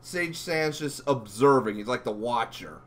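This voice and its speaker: A man's voice: medium pitch, lively intonation, measured pace, a bit bright. A man hosting a casual interview, talking in a rambling, enthusiastic fan's way. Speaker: interviewer and channel host.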